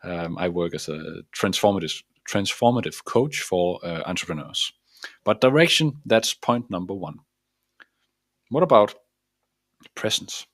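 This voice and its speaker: A man with a Danish accent talks through a self-help lesson in a low voice, restarting his sentences as he hunts for the right words. The sound is close and dry. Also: animated, unhurried, a bit bright, no room sound. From Denmark. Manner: earnest, searching for words with restarts; explains while drawing a diagram. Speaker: transformative coach. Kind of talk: self-help video talk.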